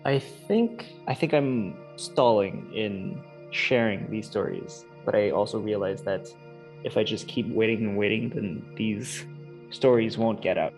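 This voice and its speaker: A man reading a family story in an earnest, measured way. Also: on the low side, a little mellow, soft background music, rough recording. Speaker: interviewee.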